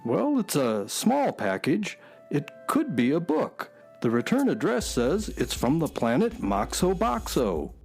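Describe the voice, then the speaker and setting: A man's voice: fairly high pitch, huge pitch swings, a little slow, gravelly, darkish tone, exaggerated voice. A man playing a sensible, dryly wry horse sidekick in a children's radio drama. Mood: helpful.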